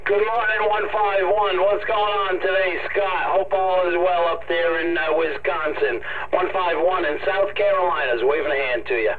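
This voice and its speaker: A man's voice: high voice, normal speed, hardly any pauses, a little hiss or hum. A friendly man making casual CB radio contacts, repeating call signs and sign-offs. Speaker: CB radio operator. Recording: thin phone-like CB radio sound, chatter.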